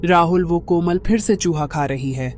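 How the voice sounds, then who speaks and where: A woman's voice: fairly low pitch, moderate pace, a little mellow, lightly acted. A woman voicing a worried young wife in a cartoon, insistent and frightened about her new maid. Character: anxious young wife Shanti. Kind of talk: cartoon voice acting.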